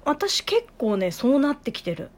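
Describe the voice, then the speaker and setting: Lively high-voiced woman chatting. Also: moderate pace. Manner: expressive, animated storytelling. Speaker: radio talk co-host. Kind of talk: casual chat and anecdotes.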